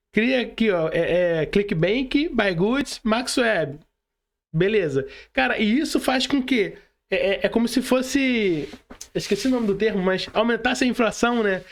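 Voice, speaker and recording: High-voiced Brazilian man talking casually. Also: expressive, measured pace. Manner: slangy, emphatic, repeats words while explaining. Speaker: podcast guest, affiliate marketing mentor. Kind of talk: casual podcast chat.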